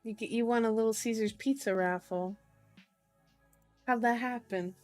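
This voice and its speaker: A woman's voice: on the high side, normal speed, darkish tone, no echo. A woman chatting casually and playfully in an ASMR style, giggly, with silly repeated words and sounds, reading fortunes for viewers. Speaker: streamer reading horoscopes and tarot for chat viewers. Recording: dry close recording over faint music.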